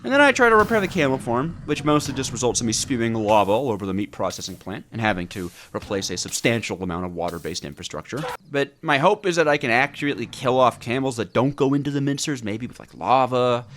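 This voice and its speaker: Man, gameplay story narration, lively swooping delivery, mid-pitched voice, dramatic, comically exasperated, light music behind. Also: quick pace, hardly any pauses, a little hiss or hum. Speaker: gaming video creator.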